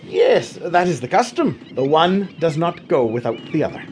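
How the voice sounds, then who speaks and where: Rough cheeky sailor, lively, insistent, slightly high. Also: male voice, moderate pace, a little hiss or hum. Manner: insistent, good-humoured. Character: bold rough male sailor, persistent and cheeky. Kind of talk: radio drama acting.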